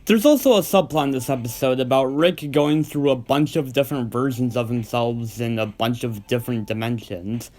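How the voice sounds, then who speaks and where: Male video host, medium pitch, informal and earnest, reading review commentary. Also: a little mellow. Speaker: host of a video list of cartoon episodes.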